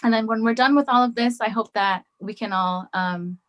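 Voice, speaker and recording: A woman singer, a podcast guest, talking softly and hesitantly over a video call, pausing often and restarting her sentences. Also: fairly high pitch. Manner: soft-spoken and hesitant, with many fillers and restarts. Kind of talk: casual video-call conversation.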